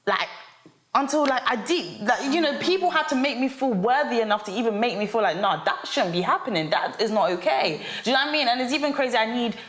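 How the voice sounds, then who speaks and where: A woman's voice: a little high, animated, quick pace, a bit bright, echoey room. A woman talking frankly and animatedly on a panel show, swearing freely. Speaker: panel host. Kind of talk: casual panel discussion.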